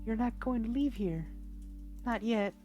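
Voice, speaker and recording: An anxious, loyal young woman who speaks in urgent, pleading bursts, voiced by a man reading the story, her voice pitched well above his own. Her words run together with emotion, pressing and insistent. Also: measured pace, muffled recording, over music.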